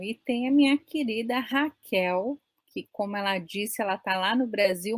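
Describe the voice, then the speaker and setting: A woman's voice: on the high side, no echo. A Brazilian woman in her forties hosting a live online chat in a friendly, talkative way, often adding "né?". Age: 40s. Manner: friendly, chatty, many "né?" tags. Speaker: host. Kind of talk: casual live-stream chat.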